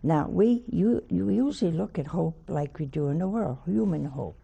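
A hoarse woman hosting a spiritual talk show, chatting in a folksy, teasing way. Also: fairly low pitch, animated, moderate pace, mellow, muffled recording. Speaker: TV host, nun. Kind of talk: homely spiritual talk to a studio audience.

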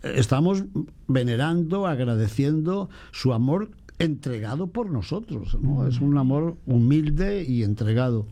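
A priest, chatting about faith in a lively way and mimicking other people's voices. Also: male voice, mid-range voice, a little slow. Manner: animated, mimics voices in quotes. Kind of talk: casual chat on faith.

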